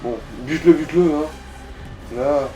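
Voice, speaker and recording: A man's voice: fairly high pitch, moderate pace, dark tone, dull audio, soft background music. A man giving casual, slangy and crude advice as he comments on a video game.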